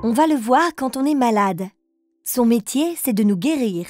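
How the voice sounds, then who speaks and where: Gentle woman reading riddles to toddlers. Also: fairly high pitch, expressive, moderate pace, dry recording, studio quality, faint music behind. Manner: patient and encouraging, speaks directly to young children. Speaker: narrator asking children riddles. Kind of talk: gentle scripted narration for toddlers.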